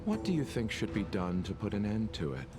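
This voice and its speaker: An actor playing a polite, scheming male healer in a game, arguing his case calmly and persuasively. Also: lowish voice, fairly quick. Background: game music.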